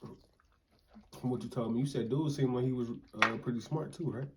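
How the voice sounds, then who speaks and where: A young man with an ordinary mid-range voice sits in on a relaxed chat and drops in short, easygoing comments. His delivery stays low-key and unhurried. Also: a little hiss or hum. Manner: laid-back, brief interjections. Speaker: vlogger's husband, co-host. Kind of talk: casual chat.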